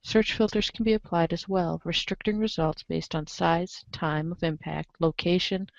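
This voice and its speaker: A woman's voice: on the low side, fairly even intonation, normal speed, vocal fry, no echo. A woman reading scripted narration aloud as the narrator of a group reading. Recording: dull lo-fi audio.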